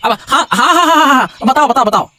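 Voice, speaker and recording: Impatient, wily cartoon cattle seller. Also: male voice, extremely high pitch, lively intonation, quick pace, bright, forceful tone, heavily acted. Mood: eager haggling. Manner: haggling, mocking, repeats words in bursts. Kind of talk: exaggerated Hindi cartoon voice acting.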